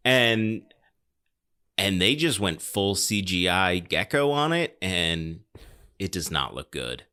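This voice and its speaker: Man, podcast host, very varied intonation, forceful bright tone, casual critical chat. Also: sits low, sing-song, slow, smooth. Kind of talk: casual film discussion chat.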